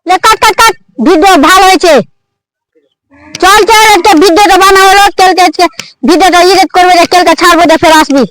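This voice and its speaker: Very high-pitched eager village uncle, played by a woman. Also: steady tone, fast, a crowd in the background, rough recording. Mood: bossy. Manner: pleading and commanding, repetitive. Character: eager, bossy village uncle chasing online video money. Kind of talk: village comedy skit acting.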